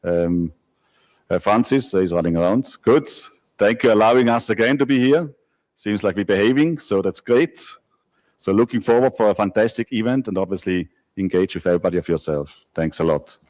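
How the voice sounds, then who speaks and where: A middle-aged man with a Swiss accent, a company director greeting an expo audience in a relaxed, friendly way. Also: fairly low pitch, moderate pace. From Switzerland. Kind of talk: speech at an event.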